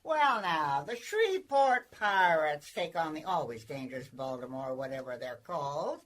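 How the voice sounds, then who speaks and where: A woman's voice: low-pitched, sing-song, normal speed. A woman playing a feisty, sardonic lodger in a radio comedy, wry and blunt in manner. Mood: casual, teasing. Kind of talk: sitcom-style radio comedy acting.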